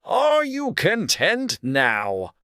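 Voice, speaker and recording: Slow, drawling, haughty caterpillar. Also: mid-range voice, wildly swooping pitch, bright, forceful tone, smooth, no room sound, clean studio sound, pitched above the performer's own voice, full character voice. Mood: languid questioning. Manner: drawling, curt, contradicting. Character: haughty, languid, irritable caterpillar smoking a hookah. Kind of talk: dramatized storybook character voice.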